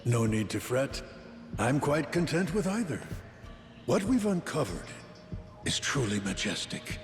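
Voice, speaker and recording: A composed, learned gentleman from a video game. His mid-pitched voice is formal and precise, ringing in a large echoing space with faint music. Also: sing-song, unhurried. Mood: content. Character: learned, composed scholarly gentleman explaining old lore. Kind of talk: scripted video game cutscene acting. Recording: echoey hall with faint music.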